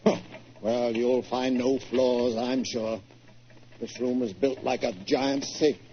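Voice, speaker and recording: An actor playing a wealthy, excitable older British collector with a stammering, blustery manner in a 1940s radio drama. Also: male voice, medium pitch, slow, natural voice. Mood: assured. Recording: dull, noisy 1940s radio recording.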